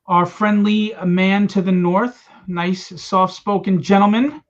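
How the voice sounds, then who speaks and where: A man's voice: high-pitched, fairly even intonation, slow pace. A man hosting a livestream launch party for his comic, joking and teasing his guests as he hypes the campaign. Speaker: host and comic publisher. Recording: dull lo-fi stream audio.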